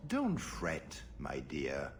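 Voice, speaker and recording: A menacing male nobleman villain from a fantasy game. His deep voice drawls slowly and swoops with condescension, over clear background music. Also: huge pitch swings, full character voice. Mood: smug menace. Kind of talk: video game voice acting.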